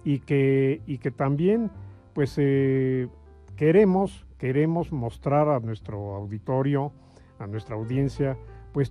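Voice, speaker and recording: A man hosting a music interview, phrasing his thoughts in a halting, drawn-out and effusive way. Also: mid-range voice, lo-fi sound. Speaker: interview show host.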